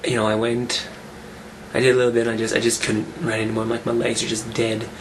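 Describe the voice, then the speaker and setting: A man talking casually in a vlog, rambling and restarting his sentences, his voice rough from a cold. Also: mid-range voice, monotone, quick pace, noisy background. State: getting over a cold, rough voice. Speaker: fitness vlogger. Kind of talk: casual weekly update vlog.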